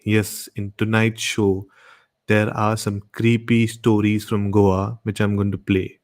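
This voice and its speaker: An Indian-accented man presents a late-night horror programme at a slow, deliberate pace. His talk is casual and unscripted, and he circles back over phrases to stress them. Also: on the low side, flat delivery, a little mellow. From Goa, India. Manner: repetitive, emphatic phrasing. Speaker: host of a late-night horror radio show. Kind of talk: casual hosting, unscripted introductions.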